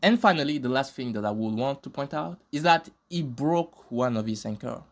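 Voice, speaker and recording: Lively male commentator, slangy. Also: medium pitch, moderate pace. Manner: informal, joking, slangy. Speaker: host of reaction commentary videos. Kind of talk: casual scripted commentary with slang. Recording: loud music behind the voice. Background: loud music.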